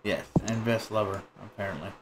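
A man's voice: lowish voice, fairly quick, noisy background. A man streaming a video game, chatting casually with crude, joking banter while he plays. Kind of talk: casual gaming chat.

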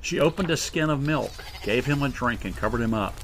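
A man narrating a scripted Bible story drama in a measured, solemn way. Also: medium pitch, animated, a bit bright, slight background noise.

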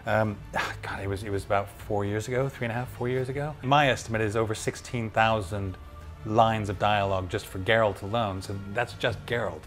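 A man's voice: lowish voice, steady tone, fairly quick, soft background music. A middle-aged male voice actor talking casually backstage in an interview, friendly and self-deprecating, often restarting his sentences. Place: backstage room with wooden walls at an awards event.

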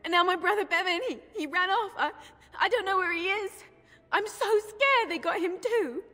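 An actress playing a timid, frightened young village girl in a fantasy video game, halting and pleading. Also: extremely high pitch, pushed and bright. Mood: distraught, pleading. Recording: long echoing hall reverb.

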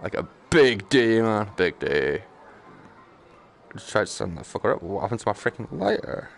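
A man giving casual, playful and sweary live commentary while streaming a video game, with an excitable manner. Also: on the low side, moderate pace, vocal fry.

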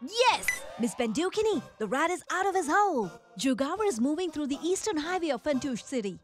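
High-pitched boy, animated, eager, decisive, cartoon hero, some music behind. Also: brisk. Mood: excited, commanding. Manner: eager, decisive, explaining plans. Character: confident clever young boy, the scheming nephew. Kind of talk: animated cartoon dub acting.

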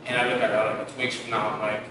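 A young man as an actor guest, chatting casually and loosely with plenty of fillers. He sounds far from the mic in a boomy studio, with a long reverb tail and noticeable background hiss. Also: rapid-fire. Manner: relaxed storytelling with many fillers. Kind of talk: casual interview chat. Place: podcast studio with graffiti wall. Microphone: headset mic seen, far camera. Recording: echoey room, distant, noisy.

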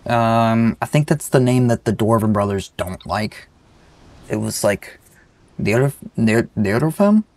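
A man's voice: on the low side, animated, a little slow, darkish tone. A young man with a sick-sounding voice giving rambling, joking let's-play commentary. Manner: rambling, joking, self-deprecating. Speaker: gaming channel host.

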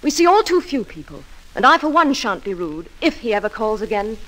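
An actress playing a spirited, infatuated young gentlewoman, prim but outspoken, in a 1940s-style radio drama. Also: on the high side, a little fast. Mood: indignant. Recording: old radio recording with steady hiss.

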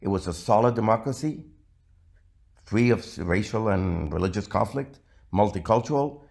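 Low-voiced man lecturing. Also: gravelly, a little mellow. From Venezuela. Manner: measured, with frequent "uh" fillers. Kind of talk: recorded slide lecture.

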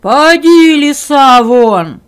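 A woman's voice: sits high, lively intonation, very slow, noisy background, voice pushed up, heavily acted. A woman voicing a big, kindly male bear with a firm storybook delivery. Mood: roaring.

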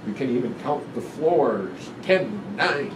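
A man in his seventies reading a children's book aloud in a gentle, deliberate, instructive way, guiding breathing exercises with pauses between phrases. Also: mid-range voice, animated. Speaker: guest doctor reading a picture book aloud. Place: indoor room in front of a sequined curtain. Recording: roomy, distant pickup with noticeable ventilation hum.

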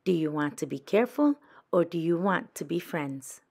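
A woman's voice: fairly low pitch, expressive, normal speed, darkish tone. A woman voicing a young girl badger from a children's storybook, firm, shrewd and matter-of-fact, speaking emphatically. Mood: conciliatory.

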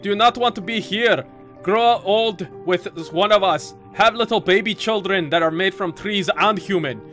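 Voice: medium pitch, moderate pace, fairly bright tone, faint music behind, close to an everyday voice. A let's player voicing a grumpy, absent-minded old male game wizard, pompous and blustering, lapsing into hesitant mutters. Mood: fussy.

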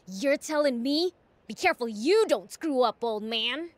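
A woman's voice: high-pitched, lively intonation, measured pace, no room sound. A woman voicing a cocky, eager young demon prince in a video game, with a brash, boyish manner. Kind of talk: video game cutscene acting.

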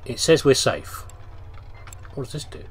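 Animated British man with a husky voice. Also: mid-range voice, unhurried. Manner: playful, chatty, asks himself questions, reads on-screen text aloud. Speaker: let's play host. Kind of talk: casual game commentary, talking to the game character.

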